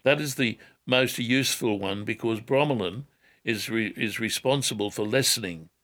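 An older man answering callers on a radio health show, measured and careful, often repeating words and hedging before giving advice. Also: fairly low pitch, a bit bright.

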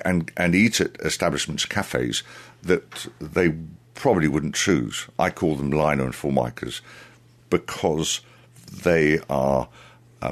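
A man answering interview questions as a tour guide, speaking in a deliberate, halting, conversational way. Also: very deep voice. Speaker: tour guide, radio guest.

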